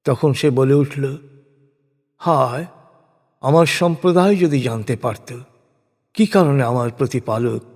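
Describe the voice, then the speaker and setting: A man gives a solemn, scripted recitation of translated scripture in a measured, declamatory way. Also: medium pitch. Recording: long hall-like reverb.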